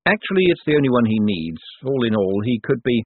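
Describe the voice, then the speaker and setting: A man voicing a quick-witted, smooth-talking male trickster in an audiobook, glib and ready to bargain. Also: medium pitch, big pitch swings, no room sound. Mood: wry. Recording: thin, phone-like band-limited sound.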